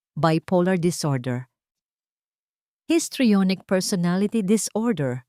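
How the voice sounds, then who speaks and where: A woman's voice: on the low side, darkish tone, no echo, clean studio sound. A woman storyteller delivering scripted, dramatic narration for a romance audio drama.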